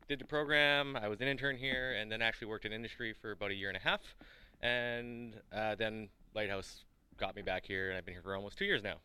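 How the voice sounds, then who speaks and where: Man, software developer, lowish, bright and smooth, relaxed delivery. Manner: relaxed, rambling, quick bursts. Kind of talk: casual staff introduction.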